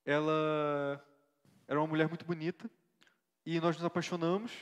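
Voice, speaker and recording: A man acting as an earnest young missionary pastor with a fairly high voice, recalling his mission in a reflective, devoted way and often checking in with his listener. His voice rings with the long reverb of a church hall. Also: little pitch movement, moderate pace, clean tone, close to an everyday voice. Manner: earnest, reflective storytelling with frequent tag questions. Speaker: interviewee in a church play. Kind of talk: staged interview, church theatre.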